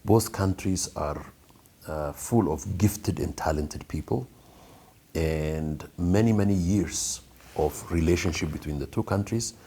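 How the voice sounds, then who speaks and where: A middle-aged man from Ethiopia, a pastor and school founder, giving earnest, measured answers with frequent fillers in an interview. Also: deep voice, warm tone, slightly rough.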